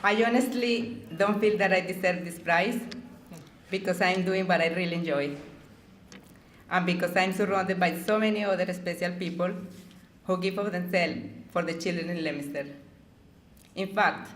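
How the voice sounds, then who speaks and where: A woman's voice: lowish voice, moderate pace, pauses often, slight background noise. Woman speaking in an echoey hall. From Colombia. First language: Spanish. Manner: gentle, with playful jokes. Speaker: award honoree giving acceptance speech. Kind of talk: prepared acceptance speech.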